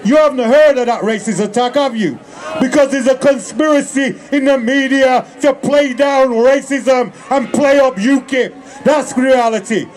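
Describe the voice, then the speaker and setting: A man's voice: very high-pitched, unhurried, a little mellow. A man delivering a rousing protest speech at an outdoor rally, leading call-and-response chants. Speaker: rally speaker. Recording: crowd noise, open air.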